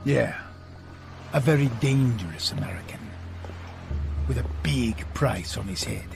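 Gravelly, terse mercenary. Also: male voice, mid-range voice, animated, unhurried, music in the background. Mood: cool, terse. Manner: laconic, hard-boiled. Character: tough, terse male mercenary agent in his thirties. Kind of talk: video game cutscene acting.

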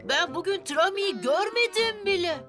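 A woman voicing a lively, excitable young boy bus in a children's cartoon dub. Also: very high-pitched, measured pace. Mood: puzzled. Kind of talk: dubbed children's cartoon acting. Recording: loud children's music behind.

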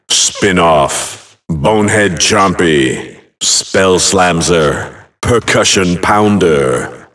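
An actor playing a grave, imposing fantasy video game announcer, calling out names one at a time in emphatic, theatrical bursts. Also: male voice, deep voice, recorded from a distance.

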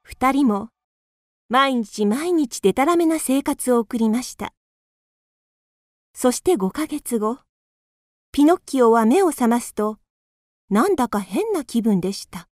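Female narrator, calm storybook reading, slightly high voice. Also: a little slow, pauses often, no room sound, studio quality.

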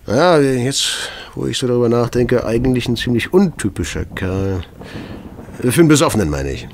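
An actor playing a talkative, down-to-earth London police constable in a German audio drama, telling things in a colloquial way. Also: male voice, medium pitch, animated, moderate pace. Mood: chatty recalling. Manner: colloquial, chatty storytelling.

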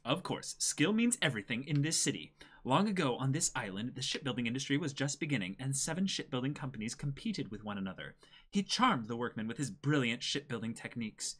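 A man's voice: medium pitch, a bit bright. A man in his twenties co-hosting a dramatic reading, moving between casual chat and reading from a script. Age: 20s. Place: small indoor room, seated before a backdrop.

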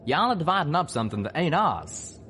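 Man as male cowboy pony, folksy, plain-spoken, wary, lively intonation, audiobook character. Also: a little high, a little fast, slight background noise, pitched above the performer's own voice.

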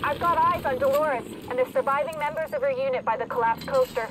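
Woman acting high-voiced tactical support operator. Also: fast talker, background noise, lightly acted. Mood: urgent, focused. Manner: urgent, businesslike. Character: alert, professional female tactical support operator. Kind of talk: video game mission briefing.

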